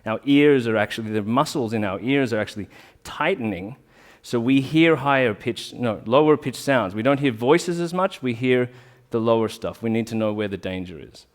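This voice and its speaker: A man's voice: mid-range voice, expressive, normal speed, hardly any pauses. A man giving an informal talk to a small audience, earnest and reflective, often restarting his sentences. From Australia. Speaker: talk speaker, rolfer.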